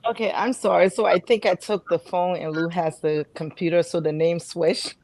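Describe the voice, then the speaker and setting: A woman's voice: fairly low pitch, fairly even intonation, normal speed, a little mellow, no room sound. A middle-aged woman, giving conversational answers as an interview guest. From Liberia. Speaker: guest, reality show cast member and nurse.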